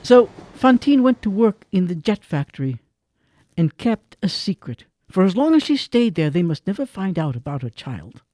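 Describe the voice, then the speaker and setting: A man narrating a radio drama in measured, expressive tones with dramatic pauses. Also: sits high, no room sound. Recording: dry with faint music behind.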